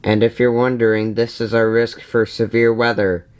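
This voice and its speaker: A man's voice: medium pitch, level tone, moderate pace, clean tone. A man giving casual commentary in a wry, mildly exasperated way, as a hobbyist narrating a video.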